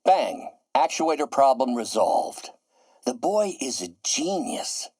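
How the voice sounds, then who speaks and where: An actor voicing a grandiose, middle-aged scientist turning villain in a video game, theatrical and erudite, with precise enunciation. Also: male voice, mid-range voice, very animated, takes it slowly, a little mellow, breathy edge, no echo, studio quality, exaggerated voice. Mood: excited, proud. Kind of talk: video game voice acting, dramatic monologue.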